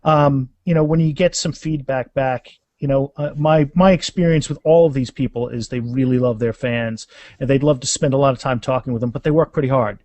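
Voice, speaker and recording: A man's voice: medium pitch, steady tone, brisk, a little mellow, no room sound. A man speaking as an author and interview guest, telling anecdotes in a casual, rambling conversational way.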